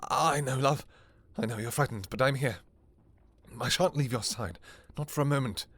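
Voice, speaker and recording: A man playing an anguished, devoted vampire king in a fantasy romance audio roleplay, speaking in halting, pleading, formal old-fashioned phrases. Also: mid-range voice, a bit bright, no echo, natural voice. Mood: tender, soothing. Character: anguished, devoted vampire king, obsessive lover and new father.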